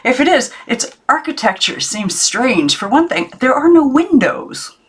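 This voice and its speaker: A woman's voice: high voice, big pitch swings, measured pace, fairly bright tone, voice pushed up, exaggerated voice. A woman reading the part of a playful, teasing older great-uncle in a children's storybook, exclamatory and formally polite.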